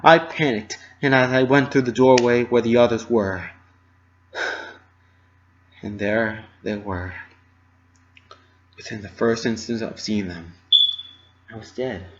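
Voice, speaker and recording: A man narrating a first-person fan fiction story with an expressive, dramatic delivery. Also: medium pitch, slow pace, long pauses, highs cut off, a little hiss or hum.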